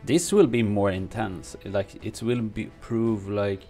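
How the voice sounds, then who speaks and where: A man who is not a native English speaker, reading game patch notes aloud and commenting on them from his home streaming room, halting with many fillers and restarts. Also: lowish voice, dark tone, faint music behind. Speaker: gaming streamer.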